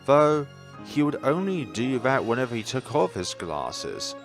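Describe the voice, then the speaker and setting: A man voicing a gentle, proud mother who reminisces wistfully about her son in a visual novel. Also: mid-range voice, very animated, a little slow, music in the background. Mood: nostalgic.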